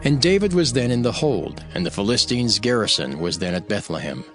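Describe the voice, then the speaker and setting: A man narrating scripture from a script in a measured, formal manner. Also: lowish voice, big pitch swings. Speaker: Bible narrator. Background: background music.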